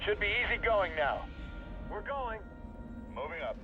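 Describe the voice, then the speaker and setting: A male infantry trooper reports in video game radio chatter. The voice is high-pitched, with clear music and battle sounds behind it. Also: sing-song, unhurried, highs cut off. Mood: relaxed.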